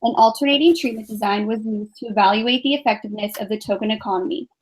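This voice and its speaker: Woman in her twenties, a touch high-pitched, calm measured student presenter. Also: rough recording. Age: 20s. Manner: even, measured scripted reading. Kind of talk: reading a class presentation.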